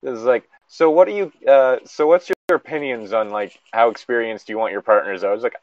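A man's voice: medium pitch, a little fast, a little mellow, dry recording, dull audio. A man in a casual joking chat, swearing freely as he reads aloud and comments as a co-host.

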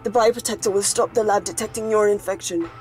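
A woman's voice: mid-range voice, steady tone, fast, lightly acted, rough recording. Woman acting weak dying patient over film music. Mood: urgent, resigned. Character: dying, selfless female patient, urging others on. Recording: soundtrack music behind.

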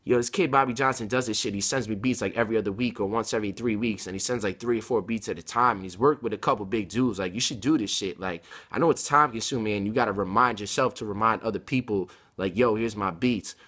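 A man's voice: fairly low pitch, fast talker, few pauses, fairly bright tone. A man in his twenties talking casually to camera in an emphatic, slangy, rambling way, recorded indoors on a laptop mic. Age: 20s. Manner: slangy, emphatic, rambling street-style talk. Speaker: rapper and video blogger. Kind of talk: casual vlog talk to camera. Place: home room with bookshelves, indoors. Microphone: laptop webcam mic at arm's length.

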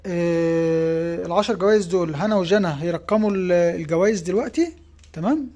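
A man's voice: sits high, brisk, darkish tone. A man with an Egyptian Arabic accent, a fishing tackle shop owner, casually presenting giveaway prizes in his shop and coaxing children along, often checking in with "tamam?".

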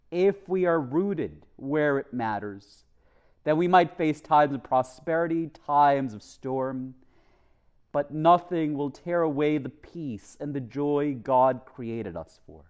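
Higher-voiced male priest preaching earnestly. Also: darkish tone. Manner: deliberate, earnest, with pauses for emphasis. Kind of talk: homily, measured preaching. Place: church chapel, at a lectern. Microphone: lectern microphone.

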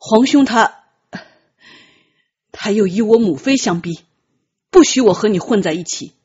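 A woman's voice: medium pitch, expressive, unhurried, a little mellow, breathy edge, lo-fi sound. A woman voicing a lovelorn, protective young prince in an audiobook, speaking earnestly with pained, bitter gravity. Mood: bitter, resigned.

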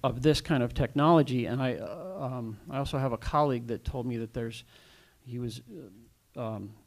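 A man in the audience of a panel talk, asking a long question and telling his own experiences, with many pauses and fillers. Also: mid-range voice. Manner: hesitant, many fillers and restarts. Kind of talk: rambling personal account at a panel Q&A.

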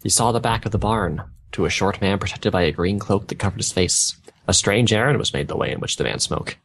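A man's voice: on the low side, brisk, a bit bright, a little hiss or hum. A man reading fan fiction aloud, stumbling over odd words and breaking off with casual joking comments. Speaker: reader of fan fiction on a gaming video.

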